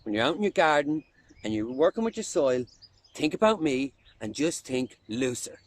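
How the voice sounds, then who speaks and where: A man's voice: mid-range voice, sing-song, moderate pace, a little hiss or hum. A man giving playful, exaggerated comic instructions in a video. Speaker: comedy performer.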